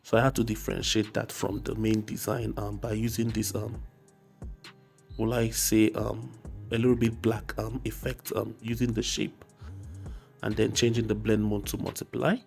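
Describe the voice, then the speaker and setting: A man with a slightly hoarse voice from a cold narrates a casual software tutorial, explaining step by step with frequent hesitation. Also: fairly low pitch, level tone, moderate pace. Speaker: graphic design tutorial host. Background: faint music.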